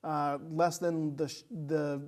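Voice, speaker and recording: A man's voice: fairly high pitch, level tone, slow, a little mellow. A man co-hosting a casual film discussion, feeling his way through thoughts with repeated phrases. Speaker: podcast co-host.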